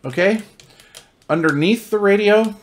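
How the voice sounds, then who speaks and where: A man's voice: high-pitched, measured pace. An American man giving a casual hands-on product review in a folksy, conversational, enthusiast's manner. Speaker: radio reviewer.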